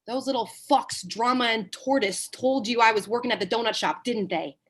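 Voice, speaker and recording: A woman's voice: fairly high pitch, fairly bright tone, close to an everyday voice. A woman reading the part of a brash, foul-mouthed, washed-up male Hollywood agent at a comedy table read. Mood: brash, indignant. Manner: blunt, profane, halting when cornered.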